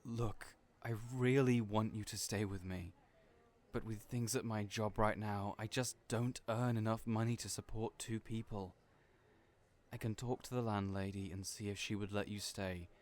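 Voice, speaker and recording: Hesitant, soft-spoken man, slightly low voice, stammers, audio roleplay lead. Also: fairly even intonation, moderate pace. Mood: gentle.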